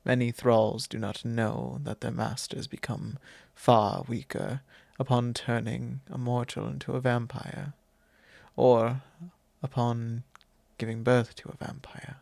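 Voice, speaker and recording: A courteous vampire lord, played by a man, confides softly in an ASMR roleplay. His voice has a gravelly creak and moves very slowly, in formal, hesitant phrases. It is solemn and tender, very close and dry on the microphone. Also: mid-range voice, level tone, no echo. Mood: apologetic, confiding. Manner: measured, formal, hesitant phrasing with soft breathy drops. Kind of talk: ASMR roleplay, soft intimate monologue.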